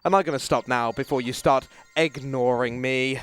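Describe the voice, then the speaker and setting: A man's voice: mid-range voice, normal speed. A man hosting a gaming marathon, reading out donation messages between stretches of play.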